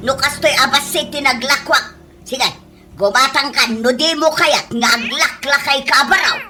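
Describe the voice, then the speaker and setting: A woman's voice: high-pitched, moderate pace, music in the background, natural voice. An actress with an Ilocano accent playing a scolding older market-vendor mother in a comedy radio drama. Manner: nagging, exclamatory.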